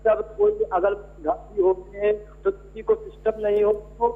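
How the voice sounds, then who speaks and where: A man giving his opinion as a religious guest in a debate, in halting, loosely phrased speech over a remote link. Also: high voice, level tone. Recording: dull, muffled remote call audio.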